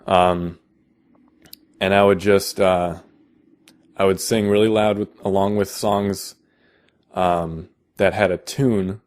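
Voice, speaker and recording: Deep-voiced young man with halting speech. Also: level tone, darkish tone. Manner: halting, many ums and restarts. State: out of vocal shape. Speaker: vocal tutorial maker. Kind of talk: casual how-to explanation.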